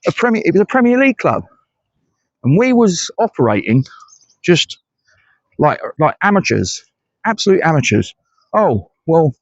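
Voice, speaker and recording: A man's voice: fairly high pitch, dry recording. A middle-aged English man talks bluntly through his views in solo fan commentary, often stopping to restart a thought. The recording is dry with no room sound, and it comes across slightly dull and lo-fi. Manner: blunt, conversational, hesitant restarts. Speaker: fan channel host.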